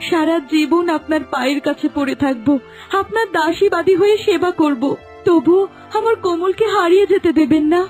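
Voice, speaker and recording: A woman's voice: extremely high pitch, moderate pace, a little mellow. A woman playing a devoted, heartbroken young woman in a melodramatic cartoon, with a plaintive, dramatic delivery. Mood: pleading. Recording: faint music under the voice.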